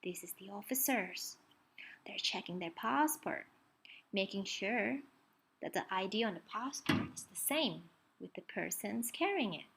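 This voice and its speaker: Female picture-book reader for small children. Expressive and very varied in pitch, unrushed, engaging the listener with questions. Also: a little slow, gravelly, slight background noise. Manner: expressive, asks the listener questions, points things out. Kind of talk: children's read-aloud with chatty asides to young listeners.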